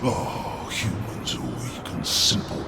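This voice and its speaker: An actor playing a vengeful, corrupted demonic brother in a game, gravelly, slow, theatrical and taunting, full of bitter menace. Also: male voice, natural voice. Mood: brooding, menacing. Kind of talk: dramatic video game acting. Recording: music behind the voice.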